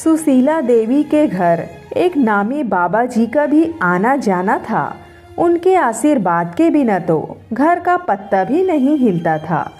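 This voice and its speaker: A woman reading a scripted story in an expressive storytelling manner. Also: a little high, slow. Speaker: story narrator. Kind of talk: scripted Hindi story narration. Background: background music.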